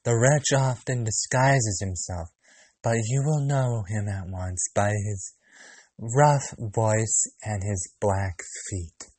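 A man's voice: on the low side, slow pace, a little mellow, dry recording. A man voicing a loving old mother goat from a storybook, giving earnest, cautious warnings.

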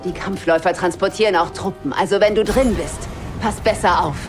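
An actress playing a firm, measured veteran mentor giving orders in a sci-fi audio drama. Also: female voice, medium pitch, lightly acted. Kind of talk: German audio drama of a sci-fi video game. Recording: music behind the voice.

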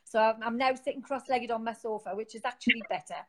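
A woman's voice: fairly high pitch, quick pace. A woman with a Geordie accent, a guest on a live interview, talking casually from her sofa on a phone, rambling with many fillers and restarts. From Newcastle, England. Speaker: guest, founder of an online support group. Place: home, sitting on a sofa.

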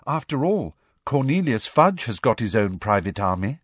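A man's voice: mid-range voice, sing-song, normal speed, slightly rough, no room sound, clean studio sound. A man voicing a solemn, stubborn, eccentric teenage girl with a dreamy, matter-of-fact manner in an audiobook.

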